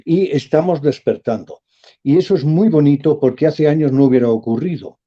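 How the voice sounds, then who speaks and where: A man, a professor speaking as a guest in a radio interview, measured and emphatic. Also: a little high, mellow, highs cut off.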